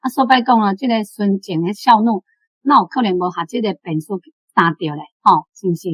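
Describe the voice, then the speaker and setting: A woman's voice: mid-range voice, a little fast, slightly rough, no room sound. Taiwanese woman in her sixties, close and dry. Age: 60s. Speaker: Taiwanese language teacher, guest reader discussing a story. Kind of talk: casual book discussion with personal reminiscence.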